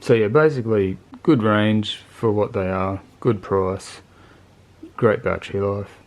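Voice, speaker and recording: A man with a lowish voice talks through a piece of gear off the cuff. His delivery is very monotone and laid-back, with plain, colloquial phrasing. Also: measured pace. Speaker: motorcycle video channel host reviewing gear. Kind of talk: casual unscripted product review.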